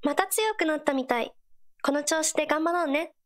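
A woman plays an earnest teenage girl companion from a mobile game. The voice sits high and stays gentle. Short scripted lines come out supportive and encouraging. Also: brisk, close to an everyday voice. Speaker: game character voice actor.

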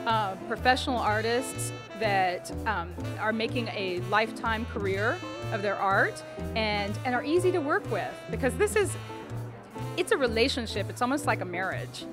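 A woman's voice: a little high, moderate pace, music in the background. An American woman, probably in her thirties, answering questions in an on-camera interview as an art gallery owner. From Dallas, Texas, USA. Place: indoor art fair booth. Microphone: handheld interview mic held out by interviewer.

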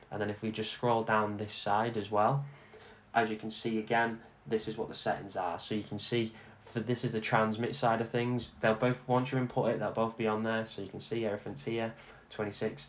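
A man with a fairly low voice talks through a screen-recorded tutorial. He is informal and explains each step, often repeating the instructions. There is noise behind his voice. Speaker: tutorial host. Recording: clear background noise.

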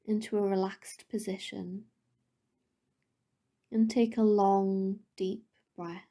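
Female meditation guide: mellow, gentle, breathy at phrase ends, level intonation, long unhurried pauses. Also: medium pitch.

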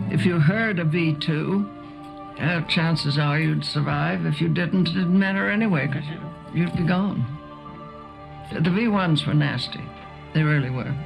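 Older lady remembering the war, gravelly, halting and wry, music playing behind. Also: low voice. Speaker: interviewee, wartime witness. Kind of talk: interview reminiscence.

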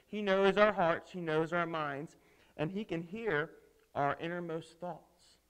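A man with a high-pitched voice tells a Bible story as a children's preacher. He is theatrical and emphatic, using dramatic pauses and posing questions to his young listeners. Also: fairly bright tone, clean tone, low-quality recording. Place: indoors at a lectern on a stage set.

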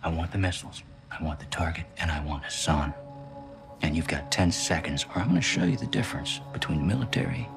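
Menacing, very deep gravelly operator. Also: male voice, animated, fast talker, over music, full character voice. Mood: cold menace. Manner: threatening, clipped. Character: menacing, ruthless male special forces operator. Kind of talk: cinematic game acting. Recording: dramatic score over the dialogue.

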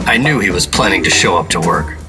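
A guarded, deadpan secret agent of the 1950s in a noir radio play. He has a low voice and clipped, terse phrasing, with music clearly audible behind him. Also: a little fast. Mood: wary, deadpan. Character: wary, terse 1950s male secret agent narrating his case. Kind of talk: noir radio drama narration and dialogue.

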